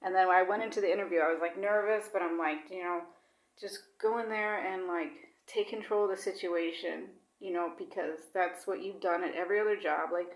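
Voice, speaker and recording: Chatty woman with a lower voice, echoey room. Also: steady tone, fairly quick. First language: English. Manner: chatty, rambling, with many fillers; mixes in Swedish words. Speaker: vlogger. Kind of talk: casual personal chat.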